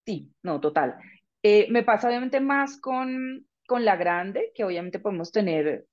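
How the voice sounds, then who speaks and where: A middle-aged woman, a guest, speaking in a thoughtful, frank and measured way during a conversational interview. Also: mid-range voice, lively intonation, highs cut off. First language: Spanish.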